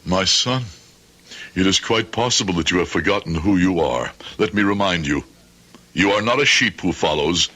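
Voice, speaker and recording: An actor playing a proud, stubborn old immigrant shopkeeper in a radio drama, speaking formally and sternly. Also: male voice, low-pitched, lively intonation, moderate pace, sharp tone, husky, heavily acted. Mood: proud, firm. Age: older. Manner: formal, stern, righteous phrasing.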